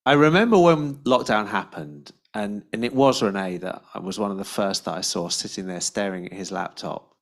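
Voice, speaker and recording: British male moderator, casual, friendly, halting delivery. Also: on the low side. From Britain. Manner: halting, restarts sentences, friendly. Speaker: panel moderator. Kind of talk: casual online panel chat.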